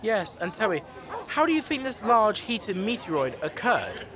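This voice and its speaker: A man's voice: sits high, sing-song, moderate pace, a crowd in the background. A young man playing an earnest, formal TV news interviewer in a radio-style drama. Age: young adult. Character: earnest male TV news interviewer on crisis show.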